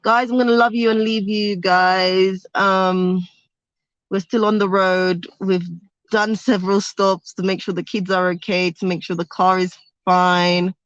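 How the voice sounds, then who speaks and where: A woman's voice: mid-range voice, level tone, unhurried, dry recording, lo-fi sound. A young woman chatting casually on a livestream from a car, reading viewer comments aloud. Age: young adult. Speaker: vlogger. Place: inside a car on a road trip.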